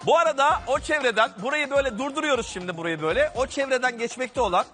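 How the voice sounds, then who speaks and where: A man's voice: extremely high pitch, fast talker, bright, forceful tone. A man narrating and directing an improvised comedy fairy tale for performers. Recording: music playing behind the voice.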